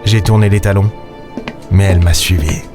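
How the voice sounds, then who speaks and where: An actor playing a cynical, impulsive space captain in a sci-fi audio drama, with a sardonic, gruff, dismissive way of talking. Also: male voice, deep voice, steady tone, normal speed, lightly acted. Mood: wry, brooding. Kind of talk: sci-fi audio drama, noir-like first-person narration and dialogue. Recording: music under the voice.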